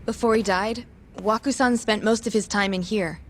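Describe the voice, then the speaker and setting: An actress in an English game dub playing a polite, reserved young medical professional who explains things in a professional manner. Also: female voice, mid-range voice, fairly even intonation, moderate pace, a little hiss or hum, natural voice. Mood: matter-of-fact.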